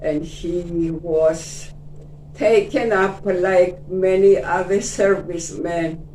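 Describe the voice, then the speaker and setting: An older woman in her seventies from Cyprus, Greek is her first language and she speaks English with a Greek Cypriot accent, telling her life story gently and unhurriedly, often mentioning her faith. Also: lowish voice, fairly even intonation, slow, slight background noise. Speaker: interview guest. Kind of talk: casual life-story interview. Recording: echoey room, distant mic.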